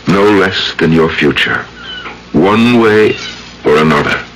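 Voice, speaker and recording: An actor playing a brooding, enigmatic gothic portraitist and ghostly storyteller, theatrical and deliberate, in old-time radio drama. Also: male voice, sits low, a bit bright, a little hiss or hum, exaggerated voice, rough recording. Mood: courtly, enigmatic. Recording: old radio broadcast with music bed.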